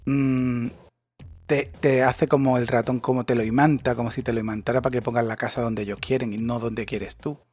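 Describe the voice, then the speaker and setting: A man's voice: mid-range voice, flat delivery, a little fast, darkish tone, husky, faint music behind. A man, ranting sarcastically and swearing in a casual chat as a podcast co-host. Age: 40s.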